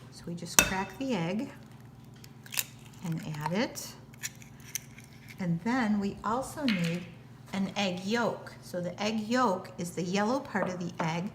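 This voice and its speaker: A woman's voice: mid-range voice, takes it slowly, pauses often. An American woman giving patient, step-by-step cooking instruction in simple, plain terms. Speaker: teacher giving a cooking demonstration. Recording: noticeable background noise.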